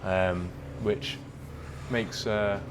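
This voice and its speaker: A man's voice: on the low side, fairly even intonation, very slow, noisy background. A man, chatting casually as a guest while playing pool, with lots of "like" and "um". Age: 20s. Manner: laid-back, lots of "like" and "um". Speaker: guest coach. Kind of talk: casual chat over a game of pool.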